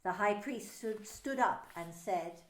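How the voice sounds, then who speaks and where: A woman minister narrating scripture in an expressive, deliberate way. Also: medium pitch. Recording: echoey room.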